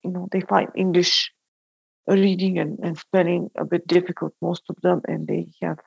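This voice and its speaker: A woman from North Macedonia who teaches braille, giving thoughtful, measured answers in a panel discussion. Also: on the low side, level tone, creaky, a little mellow, husky, no room sound, poor audio.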